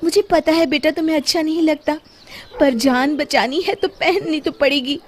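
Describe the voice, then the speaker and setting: A woman voicing a village mother who coaxes with concern, in an animated children's story. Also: high voice, normal speed, background noise, pitched above the performer's own voice, close to an everyday voice. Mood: coaxing, concerned. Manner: coaxing, concerned. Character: gentle, coaxing village mother.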